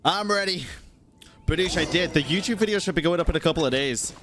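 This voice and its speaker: A man's voice: fairly high pitch, racing, background noise. A man giving casual, reactive live gameplay commentary to viewers. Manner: reactive, excitable, addresses viewers, swears. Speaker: game streamer. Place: indoor streaming setup. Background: game music.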